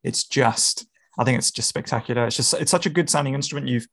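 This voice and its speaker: A man's voice: mid-range voice, quick pace, creaky, a bit bright, slightly rough. Stammering friendly male podcast host. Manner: friendly, stammering restarts, laughs often. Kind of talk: casual interview.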